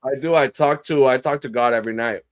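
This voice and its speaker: A man around thirty speaks casually on a panel, rambling and full of slang, with a fair number of filler words. His voice sits at a middling pitch. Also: fast. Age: 30s. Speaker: panel guest.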